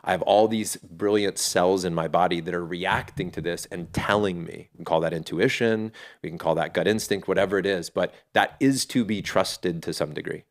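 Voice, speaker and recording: Middle-aged male guest, very low voice, earnest, thinks aloud with restarts. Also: moderate pace, hardly any pauses. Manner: earnest and reflective, with frequent restarts. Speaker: podcast guest, fatherhood community founder. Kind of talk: casual interview talk.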